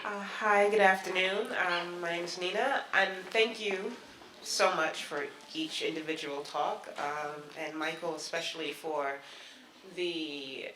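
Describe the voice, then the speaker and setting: A woman's voice: lowish voice, fairly even intonation, a little slow, roomy sound, noisy background. Chatty laughing woman from the audience. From Barbados, raised in Brooklyn, New York. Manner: chatty, laughing often, rambling. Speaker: audience member asking a question. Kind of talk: casual question from the floor.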